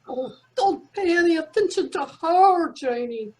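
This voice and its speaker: A woman's voice: very high voice, lively intonation, unhurried, darkish tone, slight background noise. A woman playing an impatient, bickering older landlady in an old-time radio comedy. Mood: impatient, chatty.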